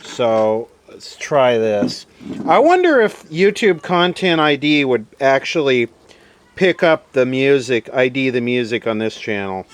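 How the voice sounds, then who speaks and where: A man thinking aloud in a dry, grumbling, low-key way as he does hands-on repair commentary. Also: big pitch swings, a little slow. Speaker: host, electronics repairer. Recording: clear background noise and hiss.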